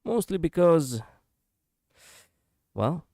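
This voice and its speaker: A man's voice: on the high side, sing-song, very slow, gravelly, a little mellow, no room sound, studio quality. A male streamer chatting casually and reflectively, poking fun at his own slip-ups. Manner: musing, self-deprecating, laughs at own slips. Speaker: VTuber streamer.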